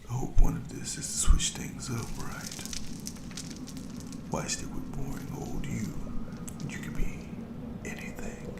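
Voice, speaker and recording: A performer voicing a cruel, playful, ageless monster in a whispered audio roleplay, with a breathy, creaky voice and a slow, taunting, savoring delivery. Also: roomy sound, recorded from a distance, close to an everyday voice. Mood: teasing.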